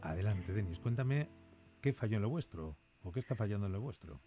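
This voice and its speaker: A man's voice: lowish voice, expressive, a little fast, warm tone. A man hosting a late-night radio call-in, speaking intimately and reflectively straight to his listeners. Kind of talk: intimate radio monologue and caller interview. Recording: music under the voice.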